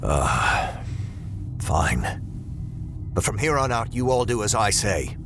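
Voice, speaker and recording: Man playing a grim, low-voiced veteran space ranger. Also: sing-song, slow, noisy background. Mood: stern. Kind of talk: sci-fi audio drama acting.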